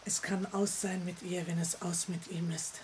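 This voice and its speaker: A woman's voice: lowish voice, flat delivery, moderate pace, a little mellow, close to an everyday voice. An actress playing an anxious, caring middle-aged mother in a German radio play, earnest and urgent. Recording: faint noise on old radio recording.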